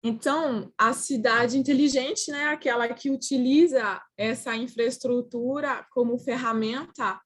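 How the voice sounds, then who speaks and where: Woman, French accent, non-native speaker, fairly high voice, hesitant video-call presenter. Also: steady tone, a little slow, hardly any pauses. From France. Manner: hesitant, frequent filler "é" and restarts. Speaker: panelist. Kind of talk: online roundtable presentation.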